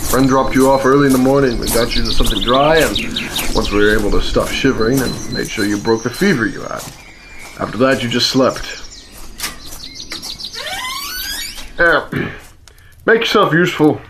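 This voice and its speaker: A man playing a stocky, gruff but kindly hermit in a fantasy radio drama, teasing and rambling as he talks. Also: mid-range voice, expressive, normal speed, background noise, lightly acted. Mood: excited, cheerful. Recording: loud music behind the voice.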